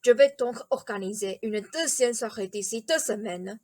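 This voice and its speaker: Woman as polite eccentric king, high voice, dry close sound, formal phrasing, radio drama. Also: darkish tone, dry recording, natural voice. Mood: courteous, confiding. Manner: formal, over-polite, measured. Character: courteous, eccentric, ceremonious old-fashioned king, a doting father. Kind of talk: theatrical radio play acting.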